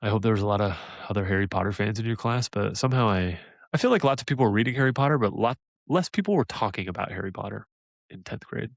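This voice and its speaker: A man co-hosting a casual podcast, animated and laughing often, trailing off into fillers. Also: fairly low pitch, fast, vocal fry, dry recording, studio quality. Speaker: podcast co-host.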